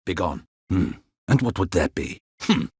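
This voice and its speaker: An actor playing a proud, stern captain of a fantasy ducal guard in a video game, speaking formally in clipped, commanding, archaic courtly phrases. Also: male voice, low voice, sing-song, unhurried, a little mellow, breathy edge, no echo, studio quality, exaggerated voice. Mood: curt, guarded. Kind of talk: dramatic video game voice acting.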